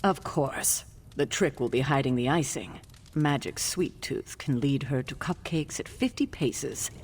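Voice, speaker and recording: An actress playing a stern, composed sorceress with dry, pointed wit in a video game. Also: female voice, very deep voice, unhurried, big-room sound, a little hiss or hum. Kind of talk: video game cutscene acting.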